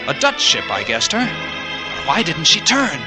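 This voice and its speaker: A man acting in an old radio drama as a lighthouse keeper, telling his own tale in a deliberate, vivid, suspenseful way. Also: fairly high pitch, huge pitch swings, over loud music. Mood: tense, suspenseful. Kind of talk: dramatic first-person narration, 1940s radio acting.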